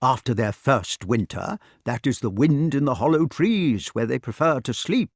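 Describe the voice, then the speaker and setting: A learned old wizard lecturing with relish, his voice rising and falling widely as he warms to his subject, as a man puts him on. The sound is dry and close. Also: mid-range voice, lively intonation, normal speed, slightly rough, no room sound. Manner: lecturing, enthusiastic, mimics bird calls.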